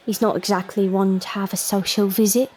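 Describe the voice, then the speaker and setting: An actress playing a weary, sharp-tongued barmaid in an audio drama, wry and guarded, with a working-class English accent. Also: female voice, mid-range voice, steady tone, brisk, lightly acted.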